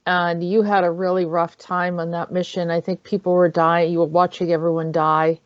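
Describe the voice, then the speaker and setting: A woman's voice: fairly low pitch, little pitch movement, moderate pace, clean tone, no echo. A woman hosting an online show in a casual, conversational way, often rephrasing herself as she goes. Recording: dry, slightly dull audio.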